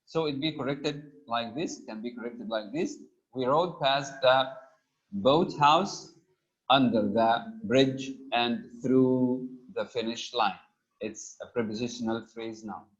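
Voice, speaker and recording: A man teaching a grammar lesson, reading example sentences slowly, stressing each item and pausing on frequent "uh" fillers. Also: medium pitch. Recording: dull lo-fi recording.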